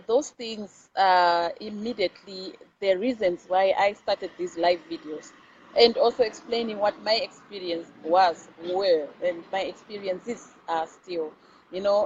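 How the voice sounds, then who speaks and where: A woman with a Zimbabwean accent gives an informal motivational talk to camera, speaking emphatically and repeating phrases to press her points. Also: medium pitch. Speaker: host of live videos. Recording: dull lo-fi audio with clear background noise.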